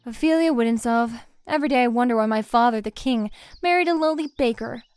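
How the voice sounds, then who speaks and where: A haughty young crown princess played by a woman narrates in a fairly high voice. Her delivery is formal and disdainful, in a visual novel style, over faint music. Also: quick pace, a little mellow, clean tone, dry recording, natural voice. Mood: cold, disdainful. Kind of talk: scripted first-person visual novel narration.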